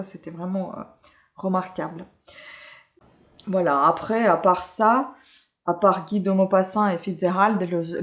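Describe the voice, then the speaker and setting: Woman from ukraine, lowish voice, lots of euh and restarts, chatty book review. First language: Russian. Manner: hesitant, many fillers and self-corrections. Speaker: book reviewer, channel host. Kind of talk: casual book review chat.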